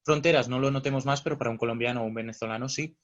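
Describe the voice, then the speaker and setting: A man's voice: mid-range voice, fairly even intonation, very fast, dull audio. A man interviewing a guest, asking long questions with hesitant fillers.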